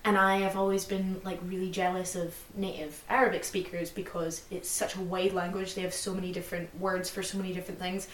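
A woman's voice: fairly low pitch, level tone, fairly quick, few pauses, far from the mic, a little hiss or hum. A young woman co-hosting a podcast in casual chat, rambling and filling her talk with "like". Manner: rambling, fills speech with "like". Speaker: podcast co-host. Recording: roomy, slightly off-mic.

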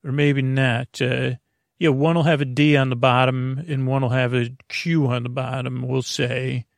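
A man hosting a bedtime podcast in a rambling, halting monologue, over-explaining and trailing off with a creaky, gravelly voice. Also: medium pitch, level tone, no echo. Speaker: podcast host.

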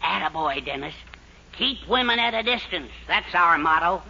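An American actor playing a brash, bossy husband in a 1940s radio sitcom, talking in gruff, slangy bluster. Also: male voice, fairly high pitch, animated, normal speed, sharp tone, exaggerated voice. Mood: triumphant. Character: brash, bossy henpecked husband newly lording it over women. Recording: muffled, hissy 1940s radio recording.

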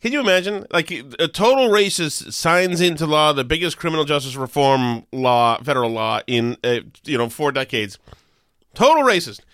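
A man's voice: on the high side, lively intonation, moderate pace, a bit bright, no room sound, clean studio sound. A man with a head cold and a nasal voice, co-hosting a casual chat and ranting with sarcastic emphasis. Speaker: podcast co-host.

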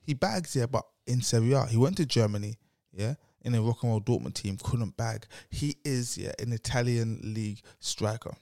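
A man, a guest on a football podcast, talking in an animated and opinionated way. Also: medium pitch, normal speed, gravelly, no echo, studio quality. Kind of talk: casual football chat.

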